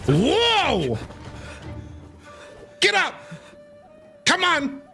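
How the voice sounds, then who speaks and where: Animated, creaky-voiced man streaming. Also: extremely high pitch, very slow. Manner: animated, casual, exclamatory. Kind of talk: excited gameplay reaction commentary. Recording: game music behind voice.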